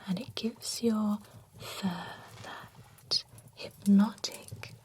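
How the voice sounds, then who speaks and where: Lady doing slow breathy asmr whispers, gossipy spa stylist playing along. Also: mid-range voice, steady tone. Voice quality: mostly unvoiced whisper. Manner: chatty, playful, gossipy.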